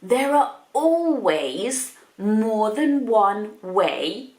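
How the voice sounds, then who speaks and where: A woman's voice: medium pitch, very animated, drawn-out words, darkish tone, reverberant. A woman, an English teacher chatting her way through a grammar point with examples. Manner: chatty, explains step by step with examples. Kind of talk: explaining grammar to learners.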